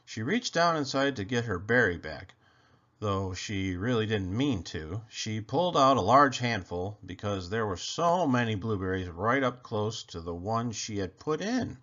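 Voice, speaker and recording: A man reading a children's story aloud in an expressive, deliberate storytelling manner. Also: lowish voice, muffled recording. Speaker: children's book reader. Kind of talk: scripted storybook narration, read aloud.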